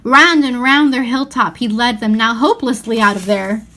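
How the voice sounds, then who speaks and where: A woman with a slightly high voice narrates a children's novel. She reads expressively, as a storybook reader. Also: moderate pace, pushed and bright.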